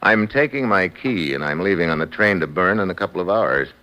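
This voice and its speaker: A man's voice: deep voice, lively intonation, fairly quick, sharp tone. An American man playing a tough, wisecracking secret agent in 1950s radio acting, with a wry, hard-boiled manner. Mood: dry, teasing. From United States. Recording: old radio broadcast with music behind.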